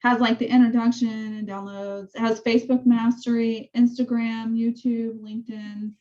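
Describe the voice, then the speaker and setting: A woman with a soft voice, casually walking her real estate team through training tools on a call. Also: fairly high pitch, flat delivery, normal speed, dull audio. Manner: chatty, rambling explanations. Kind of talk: casual team meeting walkthrough.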